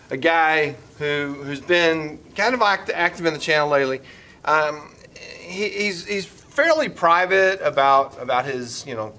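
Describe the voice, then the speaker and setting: A man in his fifties chatting casually to his vlog audience in a halting way, often restarting sentences and repeating words. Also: a little high, sharp tone. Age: 50s. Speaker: vlogger. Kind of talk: casual rambling vlog chat.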